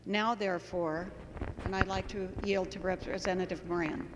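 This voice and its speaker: A woman's voice: mid-range voice, steady tone, moderate pace. A woman in her sixties, a state legislator, formally reading a resolution into a handheld microphone. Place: large indoor legislative chamber. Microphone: handheld floor microphone. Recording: reverberant chamber with clear room noise.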